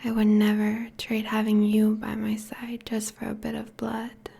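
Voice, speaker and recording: A woman playing a possessive, teasing yet tender vampire girlfriend in an intimate, whispery ASMR roleplay, speaking softly and coaxingly. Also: medium pitch, monotone. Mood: loving, intimate. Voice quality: breathy, whispery. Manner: slow, soft, coaxing.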